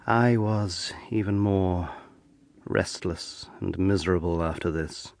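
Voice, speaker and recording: A troubled young gentleman in a period radio drama. His deep voice is restrained, formal and melancholy. There is slight ambient noise behind it. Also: takes it slowly, vocal fry, full character voice. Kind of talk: first-person radio drama narration and dialogue.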